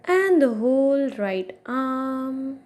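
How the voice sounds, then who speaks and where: A woman guiding a meditation as an instructor, giving soothing instructions in short phrases with long pauses between them. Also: high voice, expressive, a little mellow. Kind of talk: guided meditation, yoga nidra narration.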